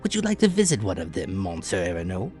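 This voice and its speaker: A man voicing a commanding, unruffled Victorian submarine captain in an audiobook, with measured, courteous speech that treats danger lightly. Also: sits low, huge pitch swings, darkish tone. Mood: courteous, nonchalant. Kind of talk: dramatic audiobook character voice. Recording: echoey room, music behind.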